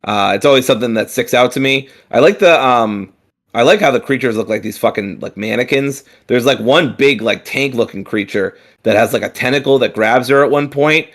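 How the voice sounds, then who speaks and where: A man's voice: medium pitch, fairly quick. A man co-hosting a gaming podcast, chatting casually and rambling with frequent fillers, restarts and casual swearing. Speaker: podcast co-host. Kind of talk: casual chat.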